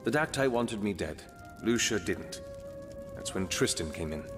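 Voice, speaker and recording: Low-voiced, guarded investigator in reverb. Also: male voice, expressive, unhurried. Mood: weary recounting. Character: weary, defensive male investigator in a fantasy city. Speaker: voice actor. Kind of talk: dramatic video game voice acting. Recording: long hall reverb, music behind.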